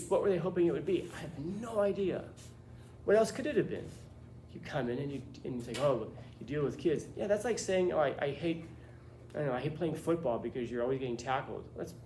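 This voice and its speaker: A man's voice: a little high, darkish tone. A man teaching a class, lecturing students bluntly with lots of rhetorical questions. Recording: echoey classroom with faint room noise.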